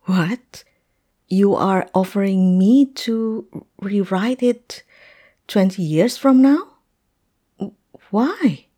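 A woman acting a hesitant, polite, heartbroken client in a fantasy roleplay audio drama, speaking haltingly. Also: mid-range voice, lively intonation, warm tone. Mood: puzzled, hesitant.

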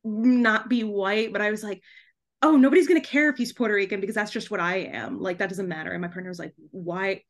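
Woman, mid-range pitch, casual conversational delivery, animated, chatty, self-interrupting podcast guest. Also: fairly quick. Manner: chatty and animated, self-interrupting, often giggling while talking. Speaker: podcast guest, debut author.

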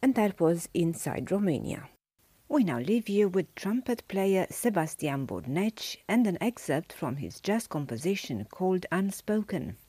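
Female radio presenter, low mellow voice, measured scripted read. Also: slightly rough, dry recording. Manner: even, measured reading. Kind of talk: scripted radio news feature.

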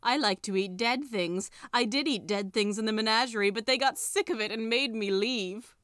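A woman's voice: on the high side, moderate pace, a bit bright, smooth, dry recording, studio quality, natural voice. A woman voicing a lonely, mournful talking tiger skin that wails and pleads. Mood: plaintive. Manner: plaintive, wailing.

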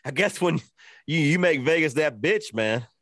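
A man's voice: fairly high pitch, animated, normal speed, a bit bright, no room sound, clean studio sound. A man co-hosting a sports betting show in loose, profane, joking banter. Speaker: podcast co-host. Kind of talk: casual sports betting chat.

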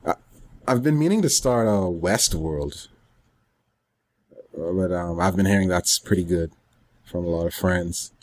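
A man with a fairly low voice co-hosts a podcast. He talks in a casual, rambling way, halting as he gathers his thoughts. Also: a little mellow. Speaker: podcast co-host. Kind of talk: casual chat about anime and games.

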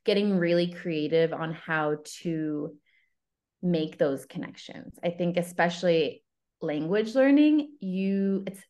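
Earnest lowish-voiced woman love coach. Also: slow pace, warm tone. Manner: earnest, enthusiastic, rambling. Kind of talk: interview guest, casual conversation.

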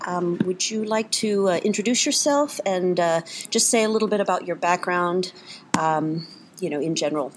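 A woman's voice: on the low side, moderate pace, slight background noise. A woman interviewing a guest politely, with somewhat hesitant phrasing.

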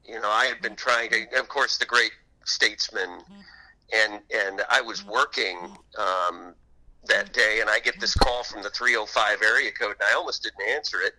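A man's voice: fairly low pitch, fairly even intonation, moderate pace, bright, forceful tone, poor audio. A man speaking as an interview guest and author, telling anecdotes in an enthusiastic, conversational way.

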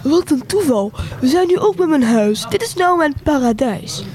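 A woman's voice: sits high, animated, moderate pace, a little mellow. A woman playing a panicky, eccentric adventurer in an amateur radio play. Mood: excited. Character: panicky, excitable crash survivor and eccentric ape researcher.

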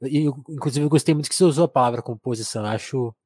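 A man with a rolling countryside São Paulo R, easygoing, who circles back over his words as he tells stories. His voice sits at an ordinary middle pitch, recorded close and completely dry. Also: very fast, husky, no echo. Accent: São Paulo countryside (caipira R). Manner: relaxed, anecdotal, stammers with repeated words. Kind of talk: casual conversation.

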